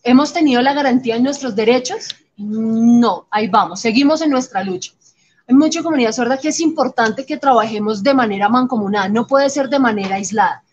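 A woman voicing a host's words in live interpretation, steady and upbeat. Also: a little high, a little fast. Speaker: sign language interpreter voicing the host. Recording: slightly muffled audio, faint background noise.

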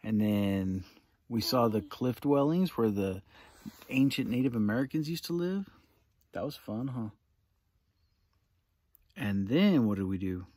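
A man's voice: medium pitch, animated, measured pace, long pauses, warm tone. A man in his thirties recapping a camping trip to his small child, coaxing her with questions, filmed on a phone held at arm's length outdoors. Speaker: father filming a camping trip. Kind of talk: casual family vlog recap. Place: outdoors in desert scrub. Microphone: phone selfie at arm's length.

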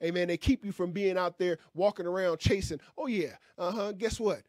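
A man's voice: high voice, a little fast, darkish tone, no room sound, studio quality. A man in his fifties preaching at a Bible class, emphatic and folksy, dropping amens and hallelujahs between lines. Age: 50s. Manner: emphatic call-and-response preaching with frequent amens; folksy, conversational, gestures with hands. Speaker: pastor. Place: small indoor room with curtain backdrop. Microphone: stand mic in front.

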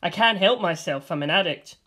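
A brash male bullet creature who lives for getting wasted, read by a man. He tosses off crude lines bluntly in a fairly high voice. The delivery stays close to an everyday reading voice. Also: brisk, bright, forceful tone. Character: reckless male living bullet, hard-partying addict.

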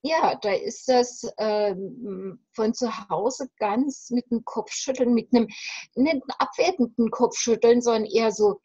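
A woman in her fifties speaks as an interview guest on a video call. Her voice is husky and fairly high, with an emphatic, halting delivery. The sound is muffled and dry. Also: mellow, dry recording. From West Germany. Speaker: separation coach, interview guest. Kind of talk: reflective interview conversation. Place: room at home. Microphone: video call, computer microphone.

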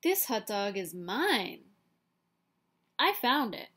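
A woman voicing an excitable, possessive and exasperated pigeon in a children's storybook, with dramatic, emphatic delivery. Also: mid-range voice, takes it slowly, slight background noise, exaggerated voice. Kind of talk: children's picture-book read-aloud.

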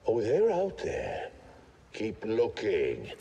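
A man's voice: lowish voice, huge pitch swings, slow, creaky, mellow, a little hiss or hum, heavily acted. A man playing an easygoing, world-weary raider mercenary in a video game, with a wry, folksy manner. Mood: wry, friendly. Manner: laid-back, wry, folksy. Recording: reverberant, faint game ambience behind.

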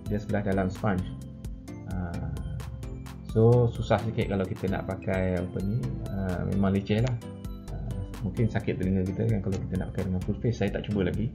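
Casting: Malaysian man, forties, low creaky voice, informal hesitant product explainer who mixes in English terms. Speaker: product reviewer. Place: medium-sized indoor office.

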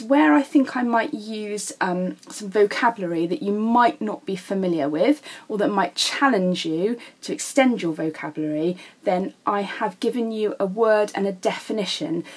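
A woman with a British accent explaining things as an English teacher in a recorded lesson, in a measured, instructive way. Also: mid-range voice, a little mellow, breathy edge.

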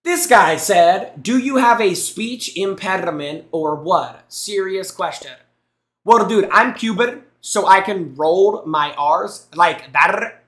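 A man's voice: high voice, slow pace, fairly bright tone, echoey room. A young man streaming on a headset mic in a small room, reacting to gameplay clips with teasing jokes and thanking his chat. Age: young adult. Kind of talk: casual live-stream commentary.

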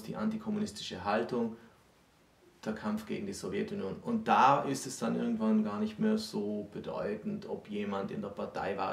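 Gravelly man explaining in a roomy space. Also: on the low side, a little mellow. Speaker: co-host explaining history. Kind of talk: unscripted explanatory talk. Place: small book-lined room indoors. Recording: roomy, distant microphone.

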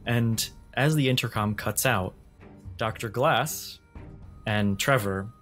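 A man's voice: mid-range voice, slow pace, fairly bright tone, soft background music. A man narrating a tabletop role-playing game as the game master.

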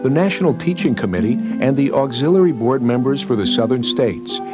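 A male narrator reads a scripted newsreel report. His pitch sits in the middle range for a man. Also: lively intonation, fairly quick, over loud music.

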